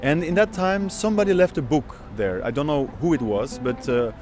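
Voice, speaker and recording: A man in his thirties whose first language is Dutch talks in a reflective interview, taking his time and searching for words with frequent fillers. Clear instrumental music plays beneath his voice. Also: fairly high pitch, brisk. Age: 30s. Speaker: oud player. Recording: music playing behind.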